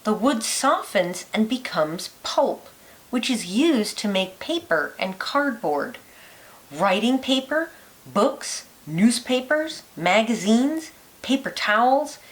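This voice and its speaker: A woman reads a children's book aloud in a teacherly way. Her pace is unhurried and her pitch moves in lively rises and falls. She places each phrase deliberately, lilting on key words.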